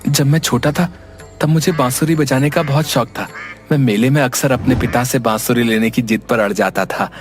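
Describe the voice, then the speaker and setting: A man playing an earnest, touchy young villager looking for work in an animated story, plain-spoken in manner. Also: medium pitch, normal speed, soft background music, lightly acted. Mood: curious. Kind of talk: Hindi animated story dub.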